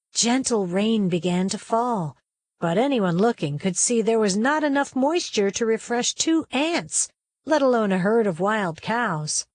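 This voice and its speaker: A woman reading a children's story aloud as narrator, in a folksy, expressive storytelling manner with dramatic emphasis. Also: fairly high pitch, dry recording, studio quality.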